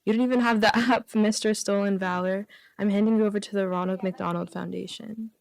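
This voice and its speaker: A woman's voice: mid-range voice, fairly even intonation, fairly quick, creaky, darkish tone, no room sound. A woman guest on a radio comedy show, reading jokes aloud and bantering casually. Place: radio studio.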